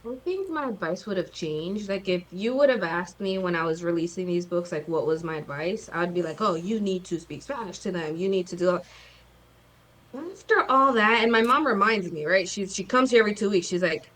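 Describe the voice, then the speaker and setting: A woman in her thirties, a guest author chatting casually in an interview, talking fast with lots of fillers and acted-out quotes. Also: on the low side, lively intonation, a bit bright, poor audio. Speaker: guest, children's book author.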